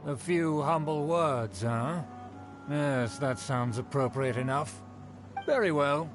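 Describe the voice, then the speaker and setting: A haughty aristocratic nobleman, formal and condescending in every word. His voice sits a little high for a man. Clear game music plays behind him. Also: slow pace. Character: pompous aristocratic male nobleman, snobbish.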